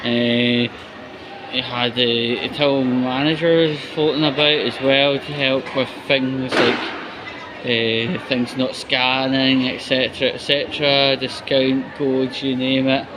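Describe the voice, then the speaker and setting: A man's voice: mid-range voice, little pitch movement, slow. A man with a Scottish accent giving a blunt, sweary, casual vlog monologue while out walking. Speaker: video blogger, host. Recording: noisy outdoor walking recording, constant background noise.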